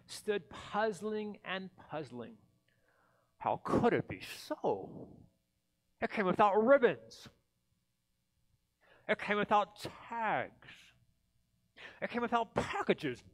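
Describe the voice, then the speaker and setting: An American man in his thirties reading a children's story aloud theatrically, with deliberate dramatic pauses, into a headset mic. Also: high-pitched. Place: indoor church beside a Christmas tree.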